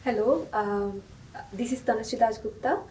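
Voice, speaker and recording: A woman's voice: normal speed, dark tone. A woman in her twenties from Bangladesh, a master's student, speaking plainly and matter-of-factly. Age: 20s. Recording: roomy, distant mic, faint rumble.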